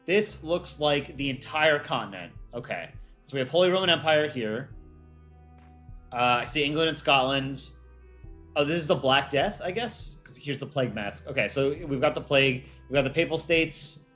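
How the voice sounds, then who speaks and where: A man's voice: medium pitch, expressive, moderate pace, fairly bright tone, faint music behind. A man hosting an art contest on a livestream, reading out viewer chat and answering it offhand in casual talk.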